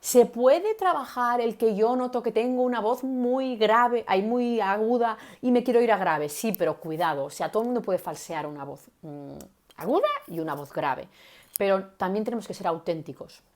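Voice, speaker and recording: Lively woman coaching viewers. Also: on the high side, normal speed. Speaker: communication coach. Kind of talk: live-stream teaching talk.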